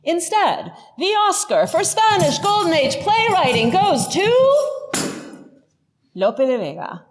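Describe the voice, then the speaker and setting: A woman's voice: extremely high pitch, big pitch swings, slow, noisy background. A middle-aged woman giving a scripted lecture as a professor, articulate, emphatic and wryly humorous. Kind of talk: scripted lecture with dry humour. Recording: long hall reverb, faint music behind.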